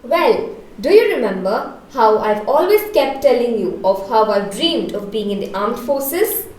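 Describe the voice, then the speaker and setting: A young woman with an Indian accent playing an ambitious, excitable girl in an earnest, emphatic school skit. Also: a little high, measured pace, roomy sound, low-quality recording. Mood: excited. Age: teenager. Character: ambitious, excitable girl dreaming of the armed forces. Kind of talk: rehearsed school skit dialogue.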